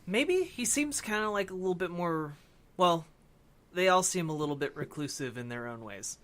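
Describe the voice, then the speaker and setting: A man's voice: sits high, expressive, moderate pace, a bit bright. A man hosting a podcast, talking casually about films in a conversational way. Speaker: podcast host. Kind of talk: casual film discussion.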